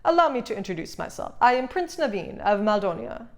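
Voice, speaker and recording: A woman puts on a young storybook prince. He is confident and charming, speaking with courtly, formal phrasing at a middling pitch. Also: very animated, a little fast. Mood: suave.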